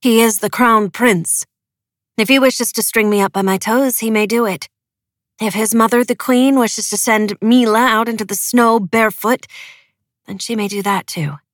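An outspoken, sensible young woman , acted by a female narrator in an audiobook. Her voice is lifted in pitch, firm and matter-of-fact, and recorded dry. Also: steady tone, normal speed, dry recording, close to an everyday voice.

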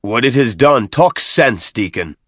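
A man voicing a gruff alien brute chieftain who barks stern orders in an audiobook. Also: low voice, expressive, measured pace, a bit bright, dry recording, clean studio sound, exaggerated voice. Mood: angry, impatient.

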